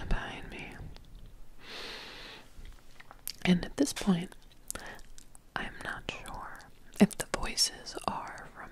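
A woman acts out a sleepless young woman terrified in her haunted house. She whispers slowly and breathily in a paranormal ASMR roleplay. Her words come haltingly, and she repeats them as if unnerved. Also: mid-range voice, natural voice. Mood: frightened. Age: young adult. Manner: halting, repetitive, unnerved muttering. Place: small indoor home studio room. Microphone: close desk microphone with foam cover.

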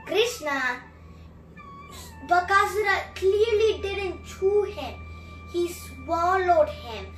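A young girl reading a storybook aloud and retelling it in chatty, animated asides with emphatic repetition. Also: extremely high pitch, extremely slow. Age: child, about 7 to 10. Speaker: story reader, host of a children's story channel. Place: small room at home, indoors. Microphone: camera a few feet away. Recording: roomy, distant mic, music behind.

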